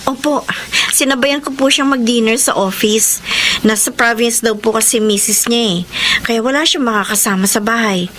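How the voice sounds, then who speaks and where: Woman acting a deferential young employee, high voice, polite, devoted, radio drama, faint noise. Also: moderate pace, fairly bright tone, close to an everyday voice. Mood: respectful. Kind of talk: Filipino radio-style drama.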